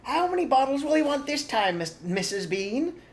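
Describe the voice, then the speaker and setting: Big loud maid lady, a guy putting her on, calling up the stairs. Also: extremely high pitch, sing-song, moderate pace, pitched above the performer's own voice. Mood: dutiful. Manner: shouting up the stairs. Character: large loud household maid woman.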